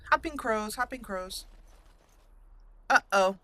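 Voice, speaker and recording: A woman's voice: mid-range voice, expressive, takes it slowly, sharp tone, no room sound. A woman giving casual, chatty roleplay commentary on a video game, playfully thinking aloud. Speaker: gaming video host. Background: game music.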